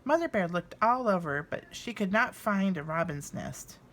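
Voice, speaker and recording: A woman reads a children's story aloud. Her delivery is highly animated, with lively swings in pitch and dramatic pauses for emphasis. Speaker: storybook reader. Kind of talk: expressive children's storybook narration.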